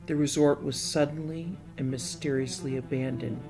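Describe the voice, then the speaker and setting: A man's voice: medium pitch, fairly even intonation, moderate pace, dark tone, low-quality recording. A man reading scripted story narration as the narrator of a play. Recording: music bed behind the voice.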